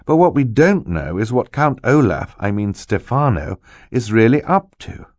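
A man's voice: medium pitch, sing-song, normal speed, a little mellow, dry recording, studio quality, voice pushed up. A man voicing earnest, worried orphaned children in an audiobook, speaking with emphasis. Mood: worried, puzzling. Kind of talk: audiobook character voice.

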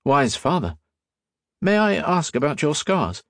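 Man acting a young gentleman doctor, higher-pitched, polite, formal, inquisitive, audiobook character. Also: sing-song, unhurried, gravelly, dry recording, clean studio sound, exaggerated voice. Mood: politely probing.

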